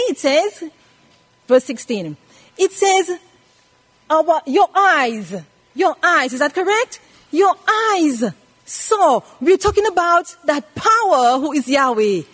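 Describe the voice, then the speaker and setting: A woman in her eighties preaching a scripture lesson, emphatically repeating phrases and putting questions to her listeners. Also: high voice. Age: 80s. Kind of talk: sermon teaching from scripture.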